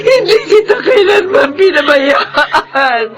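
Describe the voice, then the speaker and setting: A woman's voice: very high-pitched, lively intonation, slow, loud music behind the voice, exaggerated voice. An actress playing an anguished, wronged mother in an emotional Filipino melodrama, pleading and tearful. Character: anguished, wronged mother confronting daughter and false friend. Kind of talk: Filipino melodrama radio-style acting.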